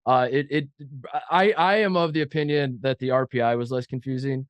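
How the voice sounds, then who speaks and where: A man's voice: mid-range voice, fairly even intonation, a little fast, clean tone, no echo. Stuffy-nosed man rambling on a podcast. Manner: rambling, joking, self-mocking. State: head cold, nasal. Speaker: podcast co-host. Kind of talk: casual sports chat.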